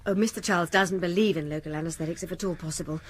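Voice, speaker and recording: Woman playing a quick, prim British receptionist. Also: on the low side, fairly even intonation, lightly acted. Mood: polite, reassuring. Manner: prim, apologetic. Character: polite, apologetic but firm female dental receptionist. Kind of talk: radio drama acting.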